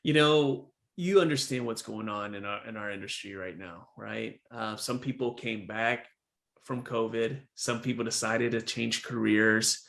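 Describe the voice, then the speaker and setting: A man's voice: fairly low pitch, measured pace, creaky. A man hosting a dental podcast over a video call, chatting casually and often saying "again" and "right?". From Texas. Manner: animated, repeats "again" and "right?". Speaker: podcast host, dentist. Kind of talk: casual interview chat.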